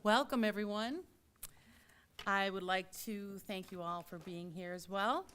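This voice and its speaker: A woman's voice: medium pitch, measured pace, a bit bright, a little hiss or hum. A woman, a library director, welcoming guests and announcing names at a dedication ceremony. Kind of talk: ceremony speech.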